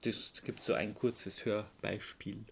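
A podcast co-host with a medium-pitched voice. The delivery is informal and conversational, explaining things in a relaxed chat between co-hosts. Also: slow pace, creaky, slight background noise.

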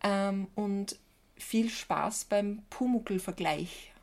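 A woman's voice: medium pitch, very slow, a little mellow. Chatty Austrian woman, casual podcast host.

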